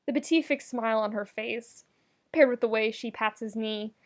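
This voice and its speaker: A woman narrates a comedic story in a fairly high voice. Her delivery is expressive and wry, shaped like scripted audiobook fiction with a dramatic touch. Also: normal speed. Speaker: podfic narrator.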